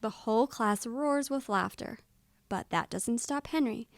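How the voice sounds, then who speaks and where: A woman reading a children's storybook aloud expressively, with deliberate, dramatic pauses. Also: a little high, dry recording. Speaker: storybook reader.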